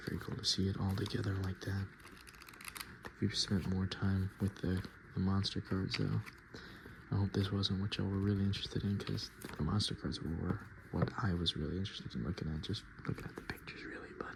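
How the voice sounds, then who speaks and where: A man softly murmuring and whispering in a casual, rambling ASMR style as he hosts his video. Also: low voice, level tone, brisk, creaky, noisy background. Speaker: ASMR video creator and host.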